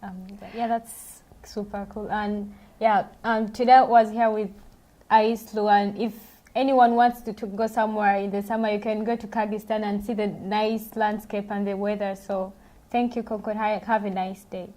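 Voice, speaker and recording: Mellow, even-toned teenage girl hosting. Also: mid-range voice, normal speed. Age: teens, high school junior. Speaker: student host. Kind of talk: school TV interview segment.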